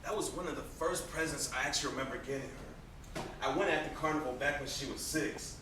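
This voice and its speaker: A man's voice: medium pitch, quick pace, lightly acted. A man acting on stage as a teasing but protective older brother, with a sarcastic, needling, theatrical delivery. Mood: animated reminiscing. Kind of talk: amateur stage play acting. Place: indoor stage, recorded from afar. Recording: echoey stage, distant noisy camera microphone.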